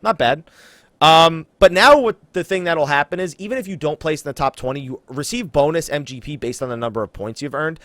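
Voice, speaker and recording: Male podcast host, casual gamer chat, slightly high pitch, dry sound, playful banter. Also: a little fast, dry recording. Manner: animated, teasing banter with co-host.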